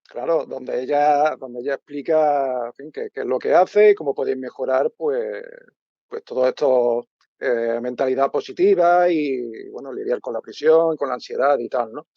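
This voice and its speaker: A man's voice: medium pitch, normal speed, creaky, no echo. A man hosting an interview, talking casually and hesitantly with many restarts. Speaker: host. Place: home in Málaga. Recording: dull lo-fi sound.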